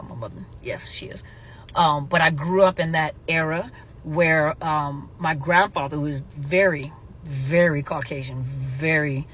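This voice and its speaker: Chatty woman host, rambling and warm-toned. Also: low voice, slight background noise. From Germany. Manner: chatty, rambling, many fillers and self-corrections. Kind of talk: casual vlog talk.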